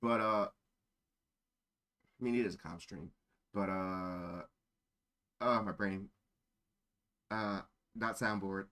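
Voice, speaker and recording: A man's voice: deep voice, steady tone, slow pace, pauses often, sharp tone. A man streaming casual chat in a rambling, easily sidetracked way. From born in Germany. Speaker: live streamer.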